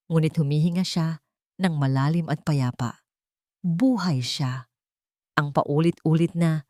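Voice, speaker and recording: A woman narrates a romance audio drama with slow, grave dramatic weight. Her voice sits fairly low. The sound is close-miked and dry. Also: darkish tone, no room sound. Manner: measured, grave storytelling.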